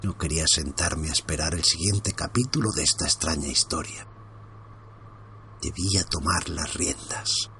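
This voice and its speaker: Low-voiced guy narrating a creepy story, tense and hushed, bit of faint noise behind. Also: moderate pace. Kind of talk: first-person horror story reading.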